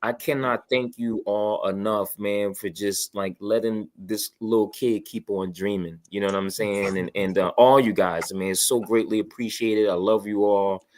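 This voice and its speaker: A man with a fairly low voice chats casually as a show guest. He is effusive and slangy, often repeating his phrases. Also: steady tone, normal speed, hardly any pauses, darkish tone. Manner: effusive, repetitive, peppered with "man" and "you know". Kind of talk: casual chat.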